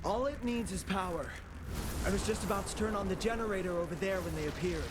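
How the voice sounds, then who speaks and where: An actor playing a nervous, eager, brainy young inventor sidekick in a video game, wordy and awkwardly polite. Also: male voice, sits high, quick pace, noisy background, natural voice. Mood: anxious. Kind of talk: dramatic video game acting. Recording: loud music behind the voice.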